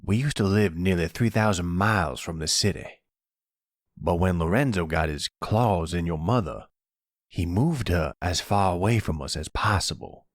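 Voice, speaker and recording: A man playing a remorseful, tender older grandfather, measured and soothing, in an ASMR roleplay audio drama. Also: low-pitched, dry recording, clean studio sound, close to an everyday voice. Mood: regretful, tender.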